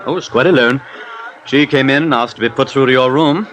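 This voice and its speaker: A man's voice: medium pitch, fairly quick, a bit bright, lightly acted. A man playing a deferential, coy hotel desk clerk with formal, fussy politeness in a 1950s radio drama. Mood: obsequious, coy. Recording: noisy old radio recording.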